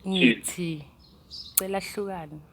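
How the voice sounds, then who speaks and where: A woman's voice: fairly low pitch, steady tone, slow pace, gravelly, fairly bright tone. A young woman with a South African accent and Zulu as her first language, chatting casually as a vlogger. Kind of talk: casual chat to viewers, mixing languages. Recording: noisy ambience with chirping birdsong.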